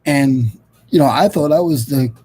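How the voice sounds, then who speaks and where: Husky guy hosting a video call hangout, mellow, kinda rambly. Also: mid-range voice, moderate pace. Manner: rambling, restarts sentences. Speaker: podcast host. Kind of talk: casual chat on a live video hangout.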